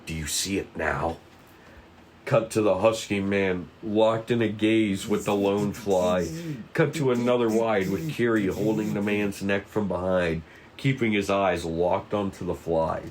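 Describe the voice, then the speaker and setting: A man's voice: fairly low pitch, steady tone, measured pace, crowd noise. A man narrating stage directions at a casual script table read, informal and stumbling now and then.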